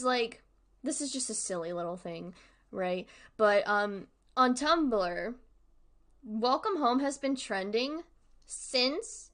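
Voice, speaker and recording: A woman hosting a livestream, talking casually to viewers in a chatty, emphatic way and repeating points for emphasis. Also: a little high. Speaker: streamer hosting a discussion.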